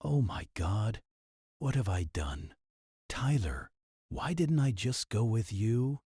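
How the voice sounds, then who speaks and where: A man's voice: lowish voice, sing-song, slow, exaggerated voice. A man acting a distraught, guilt-ridden young friend in an amateur audio drama. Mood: guilty, despairing. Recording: noisy home recording with clicks.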